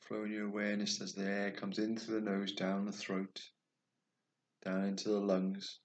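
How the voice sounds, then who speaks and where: A man guiding a meditation, speaking slowly and softly, at times dropping toward a whisper. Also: on the low side, completely flat delivery. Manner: slow, soft and soothing, trailing off toward a whisper. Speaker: meditation guide. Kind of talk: guided meditation.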